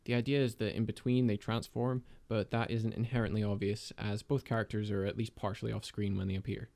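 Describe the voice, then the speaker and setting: A man giving a scripted voice-over about his own 3D animation project, in a measured, explanatory and lightly self-deprecating manner. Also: fairly low pitch, level tone, vocal fry, a little mellow, slight background noise. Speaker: video creator and 3D animator.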